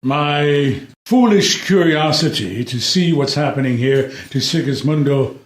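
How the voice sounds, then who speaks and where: A man's voice: on the high side, animated, measured pace. A man playing a sorrowful, anxious old king in a play reading, grave and formal as he laments. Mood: woeful. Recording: roomy, echoey live room.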